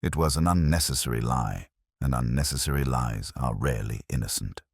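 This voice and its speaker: A man playing a weary, razor-minded police inspector, narrating a detective audio drama in a measured, reflective, literary way. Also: rumbling low, breathy edge, no echo, studio quality, full character voice. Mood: brooding, measured.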